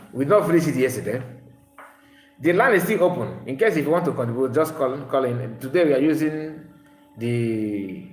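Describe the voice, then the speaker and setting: A man, an Igbo speaker, hosting a live call-in stream and talking emphatically. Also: medium pitch. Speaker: livestream host. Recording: roomy room sound, faint noise.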